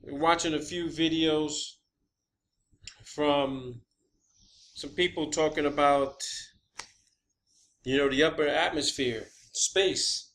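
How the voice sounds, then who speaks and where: A man hosting a video, giving a rambling, unscripted explanation of his own theories in a halting, emphatic, blunt and casual way, repeating key words. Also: on the high side, steady tone, a bit bright. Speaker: video channel host. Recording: clear background ambient noise.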